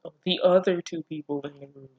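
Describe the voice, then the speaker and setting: A woman's voice: low-pitched, fairly even intonation, fairly quick, slightly rough, dull audio. A woman reading fan fiction aloud and reacting casually, with sarcastic, incredulous, foul-mouthed interjections.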